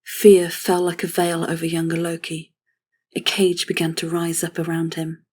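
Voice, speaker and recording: Casting: female narrator for fantasy audio drama; lowish, breathy, restrained and even delivery. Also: moderate pace. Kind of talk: scripted dramatic story narration.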